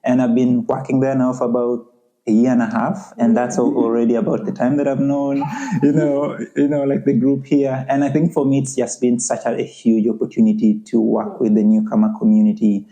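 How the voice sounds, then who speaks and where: A man's voice: medium pitch, little pitch movement, brisk, mellow, rough recording. A young adult man with a Ugandan accent, speaking earnestly as a podcast guest in a conversational interview and often saying "you know".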